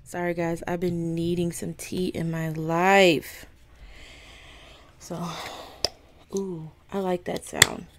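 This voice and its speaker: A chatty woman with a slightly raspy morning voice hosts a laid-back podcast from home, talking slowly and taking her time. Her speech is friendly and a bit apologetic, and the faint hum of a coffee maker sits behind her. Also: fairly low pitch. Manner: chatty, apologetic, easily excited. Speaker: podcast host. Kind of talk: casual chat to camera. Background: coffee maker running.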